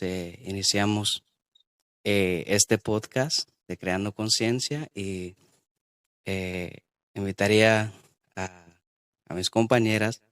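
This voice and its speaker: A man with a very low, smooth, resonant voice hosts a church podcast. He reads scripture and talks hesitantly, filling gaps with long pauses. The sound is close and dry. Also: no room sound. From Guatemala. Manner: hesitant, many drawn-out filler pauses. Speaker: podcast host. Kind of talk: church podcast hosting, reading scripture aloud.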